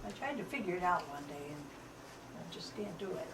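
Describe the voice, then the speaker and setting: A very elderly American woman in her nineties recalling the past informally, pausing often as she searches for details. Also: lowish voice, lively intonation. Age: 90 or older. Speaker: interviewee, family elder. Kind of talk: informal oral history recollection. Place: echoey room, away from the microphone. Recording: roomy, distant, light background noise.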